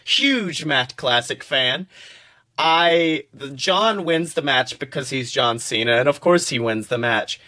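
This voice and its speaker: A man hosting a casual comedic podcast, telling stories in an animated way, swearing freely and acting out quotes. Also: mid-range voice, takes it slowly. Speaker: podcast host.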